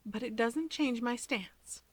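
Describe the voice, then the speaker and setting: A woman voicing an earnest, gentle, insecure adult sister in a story, speaking carefully but firmly. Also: fairly high pitch, takes it slowly, no echo, pitched above the performer's own voice. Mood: fond, bashful.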